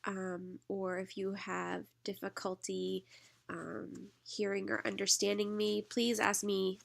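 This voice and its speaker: A woman teaches a recorded slide lecture, her voice thick and stuffed up from allergies. Her mid-pitched voice has a gravelly edge, and she stops often on a drawn-out "um" as she explains. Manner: lots of "um" fillers, relaxed explaining. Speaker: instructor.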